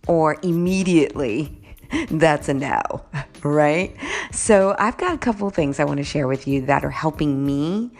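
Woman podcaster, low gravelly voice with a bit of grog, chatty, keeps saying y'all. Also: animated, a little slow. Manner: warm, conversational, says "right?" and "y'all" a lot. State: slightly groggy voice. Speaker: podcast host. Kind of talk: casual solo podcast talk.

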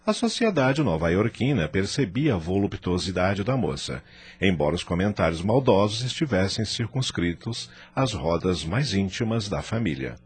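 Deep raspy narrator of a radio drama. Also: male voice, big pitch swings. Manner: measured, dramatic.

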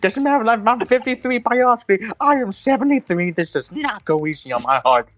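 A man playing a flustered, exasperated seventy-three-year-old man who scolds haltingly in a tabletop roleplay. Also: extremely high pitch, expressive, a bit bright, voice pushed up.

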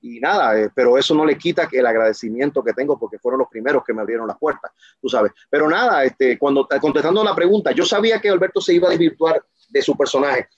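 A man's voice: medium pitch, fast talker, husky, no room sound, dull audio. A man in his forties talking passionately as an interview guest, emphatic and rambling with many restarts. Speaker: guest, professional wrestler. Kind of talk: casual interview talk.

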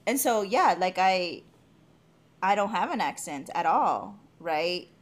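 A woman's voice: fairly low pitch, slow. Laughing, profane woman chatting. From Mexico. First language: Spanish. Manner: profane, laughs often, animated storytelling. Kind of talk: casual chat.

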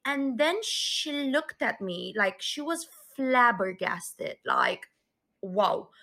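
A woman's voice: a little high, animated, slow pace, bright, forceful tone. A woman from Romania who has lived in England, telling a personal story in a chatty way with fillers. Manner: chatty, uses fillers like "like" and "blah". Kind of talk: casual storytelling clip.

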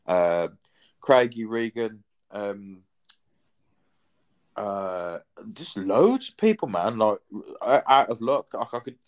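A man's voice: fairly low pitch, expressive, slow pace. Rambling man in his thirties. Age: 30s. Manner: rambling, swears casually, many fillers. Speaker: podcast host. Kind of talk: casual chat.

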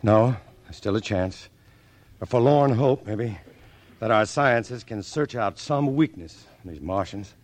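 An actor playing a steady, determined scientist in a 1950s radio drama. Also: male voice, on the low side, unhurried. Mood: resolute. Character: steady, determined male scientist leader in alien invasion.

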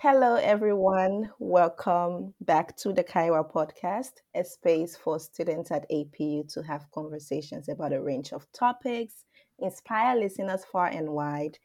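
A woman's voice: on the low side, normal speed, dark tone. A woman hosting a student podcast, upbeat and welcoming as she introduces her guests. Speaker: podcast host.

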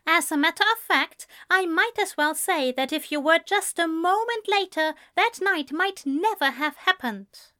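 A woman's voice: very high voice, lively intonation, measured pace, few pauses, sharp tone, pitched above the performer's own voice, full character voice. A woman voicing an earnest, prim man in an audiobook story, with formal, precise phrasing and a firm, exasperated manner. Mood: firm, angry.

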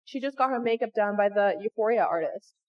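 A woman's voice: mid-range voice, expressive, a little fast, lo-fi sound. A young woman co-hosting a podcast in casual chat, enthusiastic and saying "like" constantly. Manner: chatty, says "like" constantly, enthusiastic. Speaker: podcast co-host.

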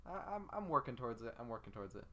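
Young guy podcast cohost just bantering, kinda playful and teasing, medium pitch. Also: very animated, racing, a bit bright. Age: young adult. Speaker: podcast co-host. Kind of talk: casual banter.

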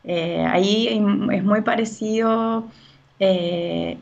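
Woman, real estate agent, unhurried, gravelly, fillers and restarts, slightly lo-fi. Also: medium pitch, fairly even intonation, a little mellow. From Chile. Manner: hesitant, many fillers and restarts. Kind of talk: conversational interview answers.

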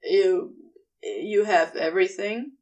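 A woman voicing a bleary, wry married man in a story, speaking slowly and haltingly. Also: mid-range voice, a little mellow, recorded from a distance, pitched below the performer's own voice, close to an everyday voice, low-quality recording. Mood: bleary, amused.

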